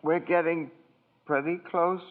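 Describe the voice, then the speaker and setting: Actor as slow, high-voiced, naive old angel. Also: male voice, fairly even intonation, natural voice. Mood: anxious, hesitant. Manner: hesitant, deferential, wondering. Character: gentle, naive old angel, eager listener. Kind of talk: 1940s radio acting. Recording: muffled, narrow 1940s radio recording.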